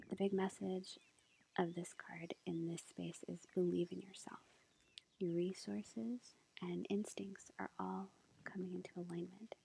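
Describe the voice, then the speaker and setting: Woman as gentle witch girlfriend, ASMR roleplay, hushed whispering, mellow tone, unhurried, reassuring, slight lo-fi background noise. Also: mid-range voice. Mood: soothing, encouraging. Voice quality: soft, breathy, creaky whisper. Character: sweet, gentle witch girlfriend reading tarot cards.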